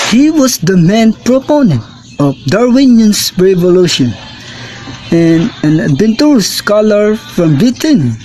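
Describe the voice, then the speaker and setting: Young male student guest, slow careful read, high and lively, faint hiss. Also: low-quality recording. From Philippines. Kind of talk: reading a class report as mock radio.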